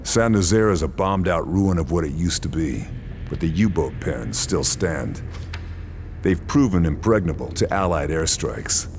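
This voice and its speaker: A deep, dry sniper hero. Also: male voice, lively intonation, moderate pace, darkish tone, slight background noise. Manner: dry, determined. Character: hardened sniper hero, middle-aged man. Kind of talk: game narration.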